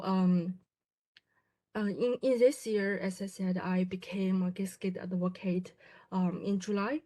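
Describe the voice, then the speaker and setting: A woman's voice: medium pitch, warm tone. A woman, giving a self-introduction talk as a graduate student, with many fillers and restarts. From China. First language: Chinese. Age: 20s.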